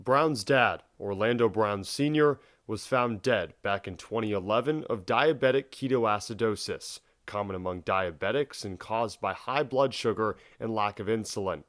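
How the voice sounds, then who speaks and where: A man's voice: lowish voice, normal speed, hardly any pauses, fairly bright tone, dry recording. Young man narrating, close dry sound. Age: young adult. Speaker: sports feature reporter. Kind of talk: scripted TV feature narration.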